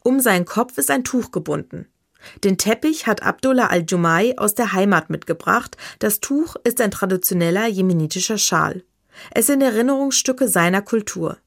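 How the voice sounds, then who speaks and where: A woman reporter reading a scripted radio feature in a measured, even way. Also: medium pitch, vocal fry, no echo, studio quality.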